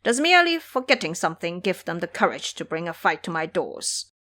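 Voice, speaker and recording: A woman voicing a fierce, scornful old male monster warlord with gruff, blustering speech in an audio drama. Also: on the low side, very animated, brisk, pushed and bright. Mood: scornful, indignant.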